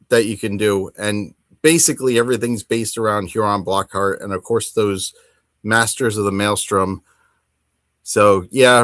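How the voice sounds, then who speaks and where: A middle-aged man hosting a casual hobby podcast, talking enthusiastically in a rambling, halting way. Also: medium pitch, a bit bright, no echo, clean studio sound. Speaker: podcast host.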